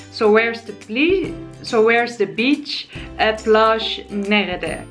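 A woman in her twenties with a Dutch accent and a fairly high voice speaks in a chatty, friendly way. She sits on a sofa in her living room, facing the camera, and slows down to spell out new words. Soft music plays faintly behind her. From Netherlands. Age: 20s. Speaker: YouTuber teaching words. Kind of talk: casual vlog lesson. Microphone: camera in front, seated.

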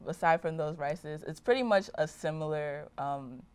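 A woman's voice: low voice, moderate pace, darkish tone, a little hiss or hum. A young woman from Nigeria, a guest in a studio interview, chatting casually with lots of "like" and "um". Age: young adult. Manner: chatty, many fillers like "like" and "um". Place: TV studio set.

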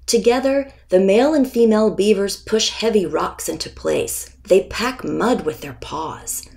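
A woman reading a children's picture book aloud as its author, deliberate and expressive, with pauses before key words. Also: mid-range voice, creaky.

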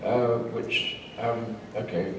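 A man's voice: lowish voice, steady tone, slow, a little hiss or hum. An older English man, a panel guest, chatting in a rambling, self-mocking way at a convention. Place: convention hall, audience present. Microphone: handheld mic, far camera. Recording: echoey hall from far off.

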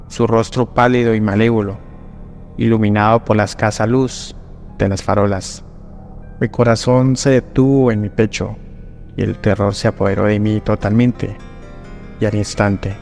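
A man reading a scripted horror legend in first person, slow and solemn, with drawn-out pauses. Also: on the low side, little pitch movement, creaky. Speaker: narrator. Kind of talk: dramatic scripted horror narration. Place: voice-over over rainy night images. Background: soft background music.

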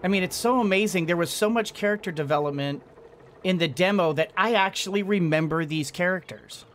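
Higher-voiced man musing through gameplay. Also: a little fast, a bit bright, slight background noise. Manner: musing aloud, hesitant. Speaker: gaming video host. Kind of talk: casual let's play commentary. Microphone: microphone on arm in front of him. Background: game music.

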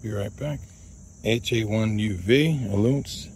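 A man's voice: on the low side, slow pace, very noisy. A man hosting an outdoor radio range test, repeating test calls and calling to his dog in an open field, recorded on a body-worn camera. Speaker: radio hobbyist host.

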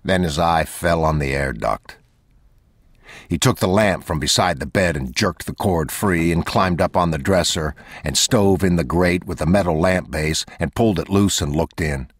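A man narrating an audiobook in a measured, unhurried reading. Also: sits low, no room sound, clean studio sound.

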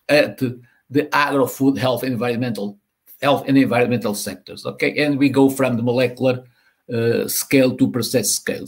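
A man in his sixties from Portugal with a Portuguese accent, giving an online university lecture over a video call, talking haltingly with many repeated words and fillers. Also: mid-range voice. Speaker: university professor, guest lecturer. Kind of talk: online lecture presenting slides. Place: home or office room, on a video call. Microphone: laptop or webcam mic on a video call.

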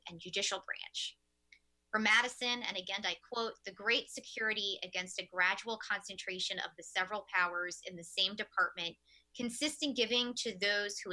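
A young woman speaks into a desk webcam from an office, delivering an earnest prepared talk to a room of teachers. Her voice is sharp and very bright, sitting at an ordinary pitch. She leans on the odd "uh" while working through her notes. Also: low-quality recording. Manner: earnest, earnest lecturing with fillers. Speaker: keynote speaker. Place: office with window blinds, indoors.

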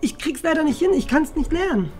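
A high-pitched, self-doubting schoolboy played by a woman in a family web drama. The delivery is quick and plaintive, with hesitations, over background music. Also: animated, darkish tone. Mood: discouraged.

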